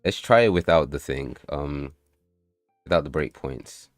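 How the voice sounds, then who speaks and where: Super low voiced guy streaming his game dev, rambly and sweary, dry close sound. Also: measured pace, no echo, soft background music. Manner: loose and rambling, swears, bursts into excited outbursts, addresses chat. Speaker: livestreamer and game developer. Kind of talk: casual livestream chat while coding and playtesting.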